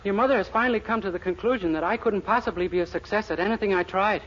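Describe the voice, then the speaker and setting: A man's voice: sits high, rapid-fire, a bit bright. An American actor playing a timid, innocent, literal-minded young man in a 1940s radio comedy. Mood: diffident, earnest. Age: young adult. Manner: innocent, diffident, earnest. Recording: noisy, dull 1940s radio recording.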